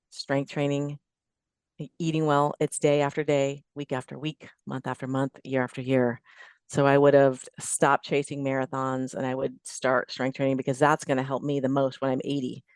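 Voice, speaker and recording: A woman's voice: rumbling low, a little mellow, clean tone, no echo, clean studio sound. A middle-aged woman chatting as a podcast guest, giving emphatic, plain-spoken fitness advice and building her points in lists. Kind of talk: casual interview chat giving advice.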